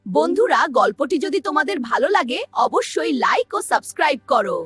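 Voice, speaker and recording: A cheerful young cartoon vegetable, voiced by a woman, speaks very high up. The delivery is animated and made for children, with light music behind it. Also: fairly quick. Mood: friendly.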